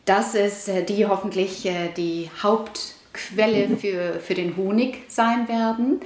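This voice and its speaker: A woman's voice: mid-range voice, takes it slowly. Iranian-born woman in an echoey room. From Iran. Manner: thoughtful, many fillers and restarts. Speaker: interviewee, housing project resident. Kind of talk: casual interview answers. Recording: roomy echo.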